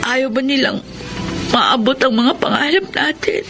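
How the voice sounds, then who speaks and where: A woman's voice: sits high, unhurried. A woman playing a troubled younger sibling still in school in a Filipino radio drama, plaintive and questioning. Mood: anxious, doubtful. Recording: radio drama with music underneath.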